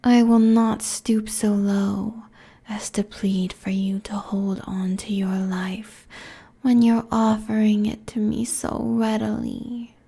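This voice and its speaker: Slow, whispery succubus queen, acted by a woman. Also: mid-range voice, flat delivery, warm tone, exaggerated voice. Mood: commanding, seductive. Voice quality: breathy, whispery, husky. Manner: slow, commanding, sultry, deliberate. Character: seductive, merciless succubus demon queen draining mortal souls. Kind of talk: intimate ASMR roleplay, scripted monologue. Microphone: close binaural microphone.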